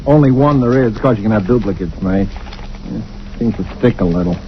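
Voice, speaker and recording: A man's voice: on the low side, expressive, fairly quick, close to an everyday voice. An actor playing a chatty, folksy male real estate agent, in 1940s radio acting style, on a dull old recording with hiss. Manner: chatty, folksy salesman patter.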